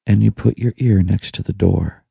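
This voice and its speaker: A man narrating a guided meditation in a soothing, hushed manner with long pauses. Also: low voice, fairly even intonation, gravelly, breathy edge, dry recording, highs cut off. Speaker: audiobook narrator.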